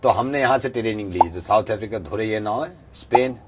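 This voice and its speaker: A man voicing a self-assured rustic fake professor with a rural western Uttar Pradesh accent, rambling and repeating himself deadpan in a prank call. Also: on the low side, a little fast, slightly rough. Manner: rambling, repetitive, bluntly folksy.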